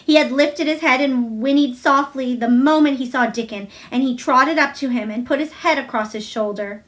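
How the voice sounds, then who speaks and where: A woman narrating a children's storybook aloud in an expressive read-aloud style. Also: high voice.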